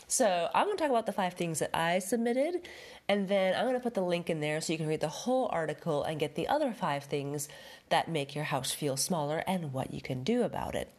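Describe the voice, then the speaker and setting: A middle-aged woman hosting a home decorating podcast, chatting casually with lots of fillers while stuffed up from a head cold. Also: on the low side. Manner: chatty, rambling, many fillers. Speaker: podcast host, home stager. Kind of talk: casual solo podcast talk.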